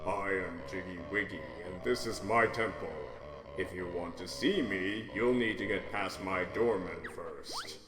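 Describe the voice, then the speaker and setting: A self-important temple deity, as a man plays him, spoken in a lowered, pompous tone. Game music plays underneath. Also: unhurried, reverberant. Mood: grandiose.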